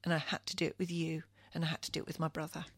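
A woman's voice: sits low, monotone, rapid-fire, no room sound, studio quality. A middle-aged woman from Kenya, speaking as a radio interview guest in an earnest, thoughtful way with frequent restarts.

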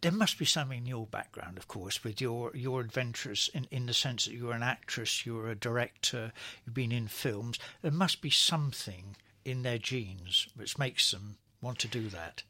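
Man, radio host, gentle probing questions, mid pitch, bright tone. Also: moderate pace, few pauses, no echo. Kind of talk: radio interview.

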